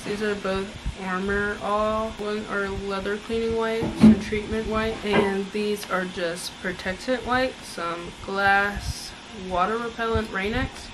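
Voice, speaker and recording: A young woman casually showing off a shopping haul from her couch, chatting in a relaxed way and listing items with asides. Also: medium pitch, slow pace, hardly any pauses, heavy background noise. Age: young adult. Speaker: vlogger. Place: living room, on a couch indoors.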